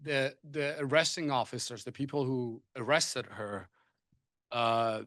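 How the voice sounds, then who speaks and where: A man's voice: medium pitch, steady tone, unhurried, a bit bright, no echo. Guy moderating a panel, persian native, lots of uhs and restarts, mid voice, dry close sound. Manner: hesitant, many restarts and fillers. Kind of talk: panel discussion.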